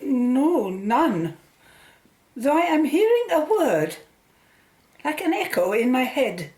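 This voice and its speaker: A woman playing an earnest, astonished scientist in a radio drama, with a halting, wondering delivery. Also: high-pitched, very animated, darkish tone, heavily acted. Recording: roomy, echoing sound.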